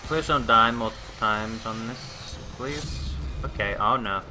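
A man's voice: on the low side, unhurried. A man playing through a game and commenting on it, exasperated and swearing at enemies, talking to them as he fights. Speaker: let's play commentator. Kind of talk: gameplay commentary. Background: game music and sound effects.

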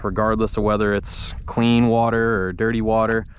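A young man with a fairly low voice talks casually, as a fishing vlogger does to the camera. He is recorded outdoors on open water, with clear background noise around his words. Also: little pitch movement, normal speed. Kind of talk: casual fishing vlog commentary. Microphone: action camera worn or held close.

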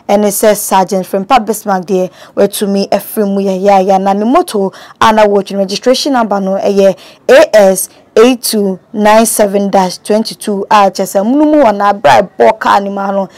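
A young woman with a Ghanaian accent presents the news. She reads her report in a mid-pitched voice and mixes English words into her local language. She sounds like she is on a close mic indoors. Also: a little mellow. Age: young adult. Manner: code-switches between Twi and English. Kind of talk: news report read from phone. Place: small indoor room.

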